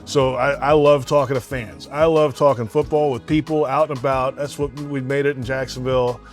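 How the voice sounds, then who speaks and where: A laid-back male radio announcer talks casually at a middle pitch, trailing into fillers as he thinks. He chuckles now and then, and soft music plays low behind him. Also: fairly even intonation, fairly quick. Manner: easygoing, laughs often, chatty with fillers. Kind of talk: casual interview.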